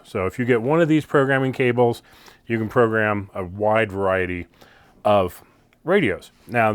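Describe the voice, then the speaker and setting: A man's voice: lowish voice, smooth, no echo. A man with an American accent giving a casual, unscripted gear review in a plain-spoken, conversational way. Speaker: product reviewer, channel host.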